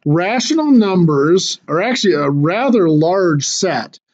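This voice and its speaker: A man teaches a math class, walking through each idea step by step with small fillers and restarts. His voice sits high and sounds hoarse, with frequent throat clearing as he loses it. The recording is dull and lo-fi. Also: animated, measured pace, darkish tone.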